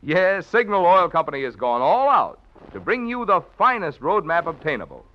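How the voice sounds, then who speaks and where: A man's voice: sits high, big pitch swings, moderate pace, dull audio. A man announcing on 1940s radio, reading sponsor messages and credits in a polished, formal style. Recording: old band-limited radio broadcast, music behind.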